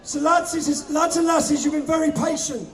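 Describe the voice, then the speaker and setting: A man shouts a fiery rally speech from a stage, his voice pushed high and strained as he calls out over the crowd. A murmuring crowd fills the outdoor air behind him. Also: fairly even intonation, normal speed. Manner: shouting to a crowd, hoarse-edged. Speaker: rally organiser speaking on stage. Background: crowd noise.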